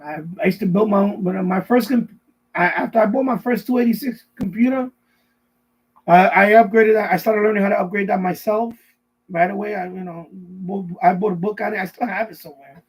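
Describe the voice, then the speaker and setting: Middle-aged male streamer, high raspy voice, casual rambling chat with viewers, loops back over his words. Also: fairly even intonation, brisk, low-quality recording. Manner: rambling, repetitive, answering viewers' messages. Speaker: live stream host. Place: basement at home.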